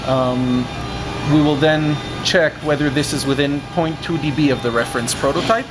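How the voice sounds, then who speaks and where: A man's voice: fairly high pitch, normal speed. A man in his thirties giving a precise, unscripted technical explanation in a methodical way. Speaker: loudspeaker maker explaining factory testing. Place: large industrial workshop, indoors. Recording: steady ventilation and workshop machinery noise.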